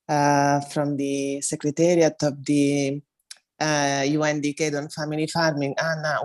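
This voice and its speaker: A woman moderating a panel off the cuff, polite and hesitant, pausing often as she links her thoughts. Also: low voice.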